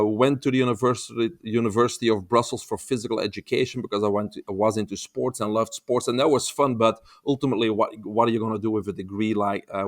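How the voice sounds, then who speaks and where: A man in his fifties from Belgium with a Belgian accent, a holistic health expert telling his story as a guest on a remote interview in a relaxed, wry way. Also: lowish voice. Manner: relaxed, wry storyteller who acts out quoted dialogue. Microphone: remote, video call.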